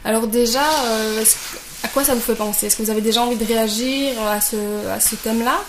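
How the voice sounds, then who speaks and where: A woman's voice: a little high, steady tone, fairly quick. Woman hosting in an echoey room. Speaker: radio show host. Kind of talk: hosting a youth discussion show. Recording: roomy echo, faint background noise.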